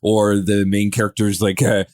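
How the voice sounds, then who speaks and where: A man's voice: fairly low pitch, level tone, moderate pace, a little mellow, dry recording, clean studio sound. A man hosting a podcast in casual discussion, talking in a hesitant, rambling way and often circling back to rephrase. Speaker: podcast host.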